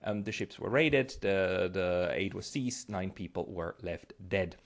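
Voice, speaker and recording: Slow-paced man with a lowish voice. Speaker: host. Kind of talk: spoken political commentary.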